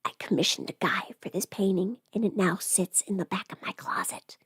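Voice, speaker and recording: A woman playing a chatty, playful streamer who rambles casually through her makeup routine, often slipping into hushed asides. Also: medium pitch, a little fast, breathy edge, no room sound, whispered. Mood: hushed confiding. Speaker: livestreamer doing a get-ready-with-me makeup stream. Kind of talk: casual livestream chat, scripted audio roleplay.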